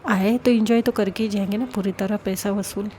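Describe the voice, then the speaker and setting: Narrator voicing carefree young woman, upbeat and breezy, mellow tone. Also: medium pitch, steady tone, moderate pace, slight background noise, lightly acted. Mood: cheerful. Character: carefree young woman eager to have fun.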